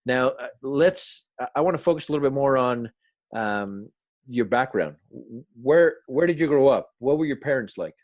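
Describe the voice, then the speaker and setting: A man's voice: mid-range voice, animated, no room sound. Encouraging male interview host, dry sound. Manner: encouraging, halting questions with restarts. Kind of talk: casual interview conversation.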